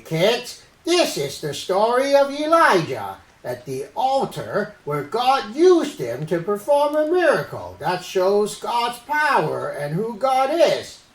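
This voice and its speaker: A performer voicing Uncle Ed, a silly, earnest orangutan puppet who teaches Bible stories to children in a deliberate way. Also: mid-range voice, reverberant, poor audio. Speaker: host of children's Bible story show. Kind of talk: children's puppet show presenting.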